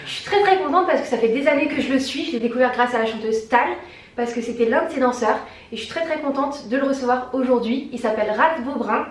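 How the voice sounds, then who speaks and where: A woman's voice: high voice, fast. A woman hosting a casual interview, bubbly and slangy, laughing often. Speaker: interviewer and channel host. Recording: echoey room, mic at a distance.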